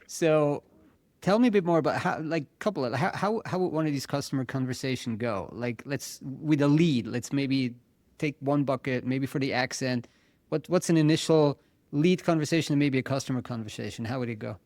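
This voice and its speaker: A man's voice: mid-range voice, fairly quick, darkish tone, no room sound. A man interviewing a guest on a podcast, asking casual questions that he reformulates as he goes.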